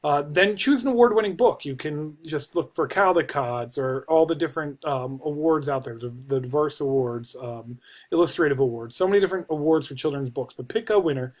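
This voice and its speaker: An American man in his forties with a slightly high voice talks as a university instructor. He gives an informal course overview, pausing to think as he explains. It is recorded on a computer microphone in a small office. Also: animated. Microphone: computer microphone at desk distance.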